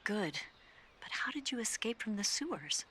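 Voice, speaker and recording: A woman's voice: mid-range voice, big pitch swings, moderate pace, lightly acted. An actress playing a confident, teasing young female spy in a video game, with noise audible on the recording. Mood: concerned.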